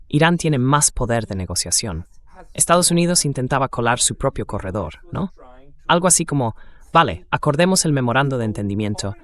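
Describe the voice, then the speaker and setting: A synthetic male voice-over reading a translated conversation about war and politics in an interview style. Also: medium pitch.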